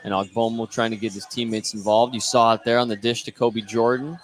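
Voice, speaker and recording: A man's voice: medium pitch, flat delivery, brisk, a little hiss or hum. A man doing live play-by-play radio commentary of a basketball game.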